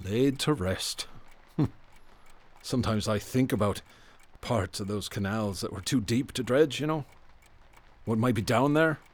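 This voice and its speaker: An actor playing a haunted, bitter, obsessive police detective in a radio drama, with brooding, florid, theatrical speech. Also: male voice, mid-range voice, measured pace, long pauses, close to an everyday voice. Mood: haunted, wry.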